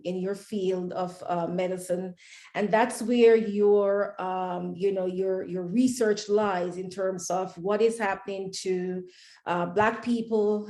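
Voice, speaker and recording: A woman hosting an interview, introducing her guest in a halting way with many fillers. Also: medium pitch, mellow, reverberant. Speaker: host.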